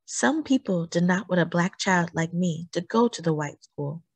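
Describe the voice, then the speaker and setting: A woman reading a children's book aloud in an expressive storytelling style, with deliberate phrasing and emphasis. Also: fairly low pitch, husky, no room sound.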